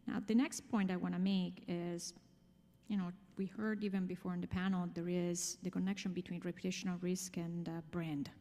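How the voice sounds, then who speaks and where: A woman's voice: lowish voice, little pitch movement, normal speed, dark tone. Italian-accented woman presenting in a reverberant hall. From Italy. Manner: emphatic, explanatory, with rhetorical questions and check-in tags. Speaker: conference speaker. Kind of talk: conference presentation to an audience. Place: large conference room, speaking to an audience.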